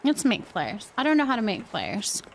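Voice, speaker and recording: A woman chatting casually while streaming a game, thinking out loud as she talks to her viewers. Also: a little high, huge pitch swings, normal speed, creaky. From California, United States. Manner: chatty, thinking aloud to herself. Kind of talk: casual gameplay stream chat.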